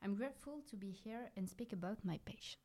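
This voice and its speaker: A woman's voice: mid-range voice, fairly quick, warm tone. A woman guest on a podcast, speaking from prepared lines with the odd filler. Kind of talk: scripted podcast answers.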